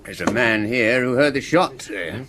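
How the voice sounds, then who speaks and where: A man's voice: medium pitch, animated, unhurried, background noise, lightly acted. An actor playing a brash, over-familiar village man in a radio drama, blunt and sardonic. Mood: sardonic, needling.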